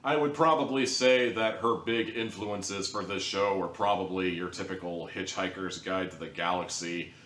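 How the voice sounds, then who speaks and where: Low-voiced man, echoey and distant. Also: fairly even intonation, normal speed, few pauses, a bit bright, a little hiss or hum. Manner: rambling, hedging, conversational. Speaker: audio drama reviewer. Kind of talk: casual review monologue. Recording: roomy echo, distant from the mic.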